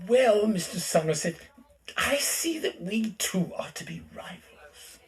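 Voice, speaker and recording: An actor playing a pompous, easily flustered gentleman architect in a period audio drama, husky, with a blustering manner. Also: high-pitched, expressive, unhurried, heavily acted. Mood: smug. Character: pompous, flustered male rival architect Mr Havel.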